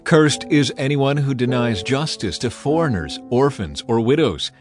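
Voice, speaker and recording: A solemn, commanding male prophet, voiced by a man, addresses his people in dramatized scripture. His mid-pitched voice is stern, declamatory and deliberate, with music clearly audible behind it. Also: lively intonation, natural voice. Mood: stern, condemning.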